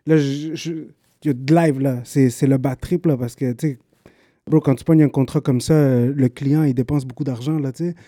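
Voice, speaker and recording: Laid-back Quebec French man, mellow tone. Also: mid-range voice, steady tone, normal speed, no room sound, clean studio sound. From Guatemala. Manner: laid-back street slang, mixes in English. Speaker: podcast guest, videographer. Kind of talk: casual chat.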